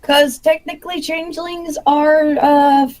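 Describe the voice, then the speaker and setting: A woman's voice: high voice, fairly even intonation, unhurried, darkish tone. A woman in casual group chat, talking in a blunt, joking way.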